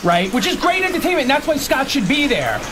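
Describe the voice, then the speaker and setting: Very high-pitched, insistent man. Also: wildly swooping pitch, fast talker, over music. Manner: insistent, defensive, repetitive. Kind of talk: animated radio argument and storytelling.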